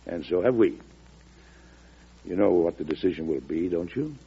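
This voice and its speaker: A gravelly, genial old-time radio president. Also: male voice, low voice, lively intonation, moderate pace, exaggerated voice. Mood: confident. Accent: American. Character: genial, shrewd older male president, magnanimous and teasing. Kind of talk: 1940s radio drama acting. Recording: muffled old radio recording.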